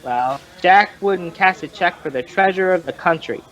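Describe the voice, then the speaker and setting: An actor playing a folksy, rambling male informant who tells his story in colloquial, drawn-out fashion, in old-time radio crime drama style. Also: high-pitched, a bit bright, lo-fi sound.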